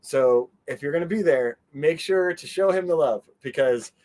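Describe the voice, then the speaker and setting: A man giving a casual interview as a guest, animated and meandering, often trailing off mid-sentence. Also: fairly high pitch, normal speed, low-quality recording. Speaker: interview guest, fan convention organizer. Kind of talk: casual rambling interview chat.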